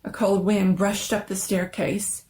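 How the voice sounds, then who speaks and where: A woman giving a dramatic, suspenseful reading of a scary story, with theatrical and deliberate phrasing. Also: mid-range voice, level tone, darkish tone, slight background noise. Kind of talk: dramatic scripted story narration.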